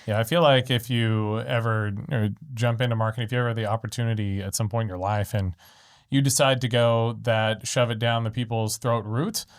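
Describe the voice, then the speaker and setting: A man's voice: mid-range voice, steady tone, fairly quick, clean tone. A man hosts a podcast from a studio, speaking into a studio microphone. His voice sits in an ordinary middle range. He talks casually and chattily, and his sentences wander off into side tangents. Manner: chatty, rambling with fillers and tangents. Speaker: podcast host. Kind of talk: casual conversational interview.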